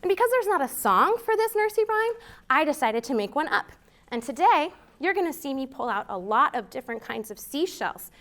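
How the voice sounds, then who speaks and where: An American woman hosting a children's storytime, reading aloud in an expressive, deliberate way for young listeners. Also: sits high. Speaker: library storytime host.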